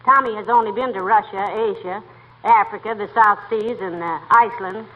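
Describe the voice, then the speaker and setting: A woman's voice: medium pitch, normal speed, natural voice. A woman playing a patient middle-aged wife who affectionately needles her husband, in 1940s radio comedy style. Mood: friendly, dry. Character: patient, teasing middle-aged wife, gently puncturing boasts. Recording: muffled, noisy old radio broadcast.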